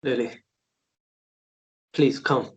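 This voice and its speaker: Guy playing heartbroken tender man, slow n halting, kinda husky, pleading drama read. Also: mid-range voice, steady tone, highs cut off. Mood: soft, worried. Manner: halting, repeating himself, pleading. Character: anguished, tender man pleading for his beloved. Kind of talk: emotional table read drama acting.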